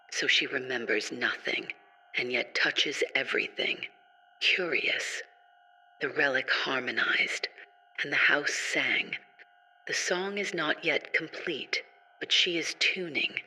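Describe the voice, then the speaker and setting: A scheming male villain acted by a man muses with cold curiosity in an audio drama. His voice is intensely crisp and forward, and he takes his time over each deliberate, menacing phrase. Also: medium pitch, sharp tone, low-quality recording. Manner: slow, deliberate, menacing musing.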